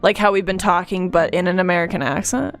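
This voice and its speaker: A woman's voice: fairly low pitch, fairly even intonation, quick pace, faint music behind. A young woman hosting a casual chat, reacting eagerly with short interjections. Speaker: stream host.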